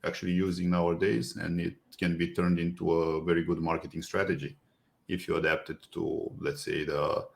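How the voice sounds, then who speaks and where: Gravelly Romanian-accented man. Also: deep voice, flat delivery. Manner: joking, halting with many uh fillers. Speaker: podcast guest, agency co-founder. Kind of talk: casual interview.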